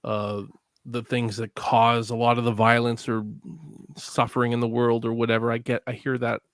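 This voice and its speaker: A man's voice: mid-range voice, completely flat delivery, moderate pace, a bit bright, no room sound, clean studio sound. A man from Canada, a filmmaker guest chatting casually on a podcast, laughing often and swearing freely.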